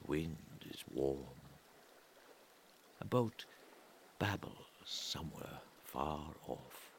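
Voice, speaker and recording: A man reading an atmospheric literary story in a measured way, pausing between short phrases, with a gravelly voice that often turns breathy and trails off. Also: very deep voice, expressive, slight background noise. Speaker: reader of a literary audio story. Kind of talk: slow atmospheric literary narration.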